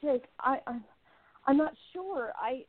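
A woman's voice: sits high, animated, slow. Woman acting a spirited 1920s heroine, tinny and echoey. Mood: flustered. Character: modern young 1920s newspaperwoman, spirited and independent heroine. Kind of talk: radio play acting. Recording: tinny phone-like line, roomy echo.

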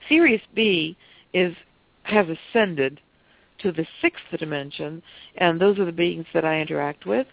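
A woman with a stuffed-up cold speaks in a gravelly, dry voice, steadily explaining her ideas. She comes through a narrow, tinny phone line. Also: fairly low pitch, lively intonation, slow, long pauses, no room sound. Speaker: author and psychic guest. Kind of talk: phone interview, explanatory talk.

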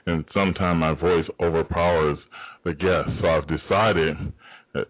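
A man with a very deep, low voice hosts a radio talk show, rambling and stopping to restart his sentences. He is heard over a thin cell phone line. The sound is dry, with no room behind it. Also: fairly even intonation, no room sound. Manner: rambling and halting, with many fillers and restarts. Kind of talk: casual call-in talk show hosting.

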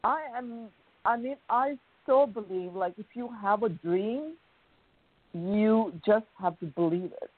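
A woman's voice: mid-range voice, slow, no echo. Chatty woman guest on a tinny phone line. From Thailand. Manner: chatty, enthusiastic, frequent "you know". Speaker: guest, bridal designer. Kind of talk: interview storytelling. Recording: phone-like narrow band.

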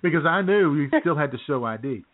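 A man co-hosting a chat show, telling a story in a relaxed way, often chuckling and clearing his throat. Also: a little high, a little fast. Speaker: podcast co-host. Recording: phone-like lo-fi audio.

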